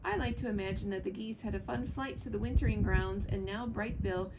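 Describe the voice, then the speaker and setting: A woman's voice: medium pitch, steady tone, a little fast, very noisy, lightly acted. A woman voicing a chatty, wistful young female woodland animal in a storybook reading. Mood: dreamy, chattering.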